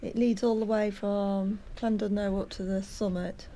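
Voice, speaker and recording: A woman's voice: medium pitch, flat delivery, normal speed, mellow, slight background noise. A woman narrating a casual walking tour, chatty and hesitant with plenty of ums, at times out of breath from climbing. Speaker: walking vlogger. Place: outdoors on a hillside.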